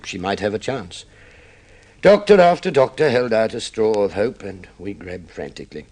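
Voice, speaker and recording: Casting: a low-pitched, middle-aged man fallen on hard times, proud, wry and self-deprecating, golden-age radio drama style. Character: proud, impoverished husband putting on a brave front. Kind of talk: old-time radio drama acting.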